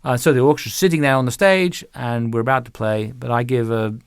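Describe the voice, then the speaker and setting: A man answering interview questions as a music director, conversational, with halting phrasing and repeated words. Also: mid-range voice, clean tone, no room sound.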